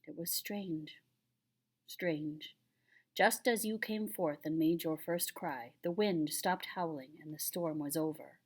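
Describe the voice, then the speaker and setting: A patient old grandfather read by a woman in a children's storybook. The voice is low and unhurried, with a solemn, tender gravity in the telling. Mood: solemn, hushed. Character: patient, solemn old Navajo grandfather recounting a birth tale. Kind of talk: children's picture book read aloud.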